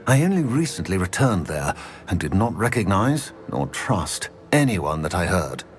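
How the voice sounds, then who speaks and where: A man's voice: deep voice, big pitch swings, normal speed. An actor playing the living portrait of a dignified old male wizard in a video game, formal and grave. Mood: grave, reserved. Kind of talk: video game cutscene acting. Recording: music under the voice. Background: music.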